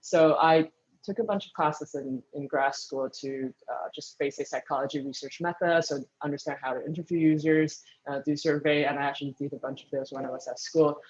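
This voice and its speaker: A speaker answers interview questions at a mid pitch, thinking aloud with frequent ums and restarted sentences. The audio sounds dull and lo-fi. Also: slightly rough. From Taiwan. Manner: thoughtful, lots of ums and restarts. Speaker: guest speaker, engineering manager.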